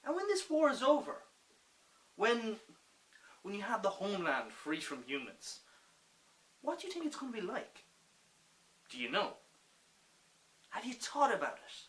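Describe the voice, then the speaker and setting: An actor playing an insistent, sarcastic peacemaker in a dramatic monologue, full of rhetorical questions. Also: male voice, sits high, wildly swooping pitch, a little slow, pauses often, fairly bright tone, heavily acted, rough recording. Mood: mocking, impassioned. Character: impassioned, pleading peacemaker challenging a rebel with sarcasm.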